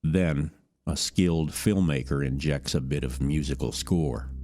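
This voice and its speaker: A man narrating a scripted true-crime podcast in a measured, dramatic storytelling manner. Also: a bass voice, steady tone, a little mellow. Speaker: narrator, voice actor. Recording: music bed behind narration. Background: music.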